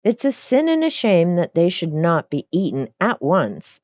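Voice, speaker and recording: Merry, sly cook, voiced by a woman, animated. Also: lowish voice, unhurried, dry recording, lo-fi sound. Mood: tempted, self-justifying. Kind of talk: storybook character reading.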